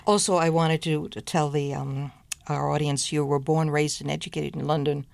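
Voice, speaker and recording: Woman, show host, low voice, dry close sound, casual chat, hesitant, repeats words. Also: fairly even intonation, moderate pace, gravelly, darkish tone, dry recording.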